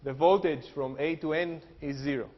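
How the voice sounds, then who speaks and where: A man's voice: on the high side, steady tone, moderate pace. A man with a Greek accent, an engineering professor giving a technical lecture with jokes and frequent fillers. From Greece. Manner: joking, explanatory, frequent uh fillers. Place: lecture hall. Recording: dull lo-fi hall recording with long reverb.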